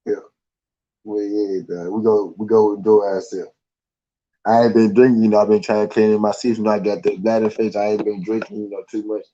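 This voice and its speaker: Chill southern guy rambling on a live stream, low mellow voice, kinda dull lo-fi sound. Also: little pitch movement, brisk. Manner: laid-back, slangy, rambling with many fillers. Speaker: podcast host. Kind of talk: casual live-stream chat.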